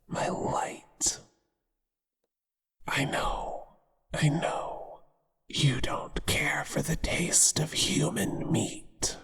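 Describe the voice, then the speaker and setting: A whispering, very breathy male spider monster acted out by a performer for horror audio roleplay. He is possessive and obsessive, with a menacing tenderness, drawing out his words very slowly in long reverb. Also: medium pitch, a bit bright, full character voice. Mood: tender, possessive. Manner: slow, deliberate, menacingly affectionate.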